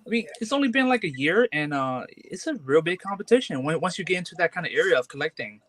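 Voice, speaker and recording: A man's voice: a little high, expressive, fast, a bit bright. A man in his twenties, a comic collector, talking casually and hesitantly with many fillers and restarts. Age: 20s. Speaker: guest collector. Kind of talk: casual chat.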